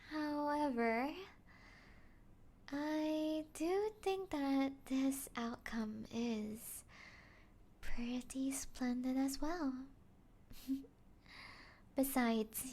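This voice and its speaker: A woman playing a taunting, playful female vampire in an ASMR roleplay, teasing the listener and often slipping into breathy whispers. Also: high-pitched, mellow, full character voice.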